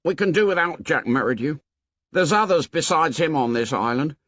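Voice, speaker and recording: High whiny know-it-all boy, man voicing him, indignant and fussy. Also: animated, moderate pace, fairly bright tone, no room sound, highs cut off. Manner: indignant, lecturing, ungrammatical.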